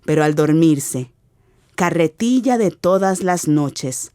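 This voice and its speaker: A woman giving an expressive, theatrical narration of a literary radio drama as its narrator. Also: deep voice, slow, no echo, studio quality. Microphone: close studio mic.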